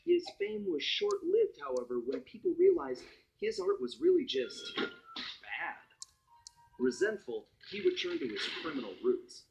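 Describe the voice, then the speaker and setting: A man's voice: high-pitched, wildly swooping pitch, a little slow, gravelly, slight background noise, heavily acted, rough recording. A man playing an easygoing, roguish raccoon thief, narrating a cartoon game story in a wry, conversational way. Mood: wry, matter-of-fact. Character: roguish anthropomorphic raccoon master thief, adult male, easygoing. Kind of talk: scripted first-person story narration for a cartoon heist game.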